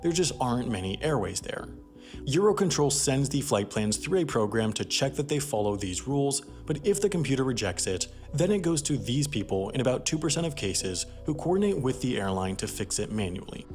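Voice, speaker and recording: A man's voice: medium pitch, very animated, brisk, a little mellow. A man narrating a scripted explainer documentary as its host, explaining things with emphatic phrasing. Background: background music.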